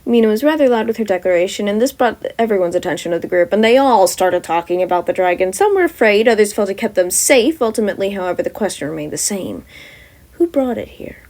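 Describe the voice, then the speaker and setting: A woman's voice: mid-range voice, lively intonation, fast talker, a little mellow. A woman reading scripted story narration as a podfic narrator.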